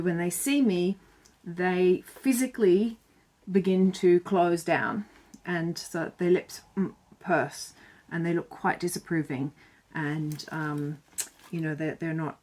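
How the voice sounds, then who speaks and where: A woman's voice: lowish voice, steady tone, a little slow, darkish tone. A woman speaking to camera in an earnest, chatty and reflective way, sharing tips with a few fillers. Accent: none Irish. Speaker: self-styled joy bringer.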